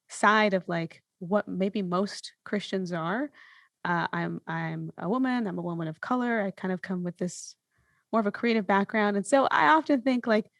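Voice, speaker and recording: A woman podcast host with a noticeably creaky voice and a mid-range pitch. She speaks reflectively and thoughtfully, in a dry, close sound. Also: no room sound.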